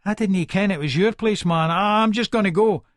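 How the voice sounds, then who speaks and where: An actor voicing a frightened young small-time burglar with an Edinburgh Scottish accent, pleading in audiobook dialogue. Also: male voice, high voice, normal speed, dry recording, studio quality, voice pushed up, lightly acted. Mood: scared, pleading. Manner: panicky, pleading.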